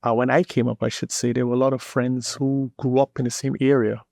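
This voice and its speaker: A man from Trinidad and Tobago with a Trinidadian accent, a guest telling his story in a relaxed interview and often repeating words as he searches for them. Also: mid-range voice, brisk, creaky, no echo, clean studio sound. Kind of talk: casual interview talk.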